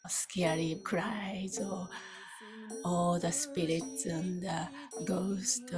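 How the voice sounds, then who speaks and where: Female meditation teacher, lowish breathy voice, very slow and soft with long pauses. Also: music in the background. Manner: soft, unhurried, with long pauses between short phrases. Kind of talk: guided meditation.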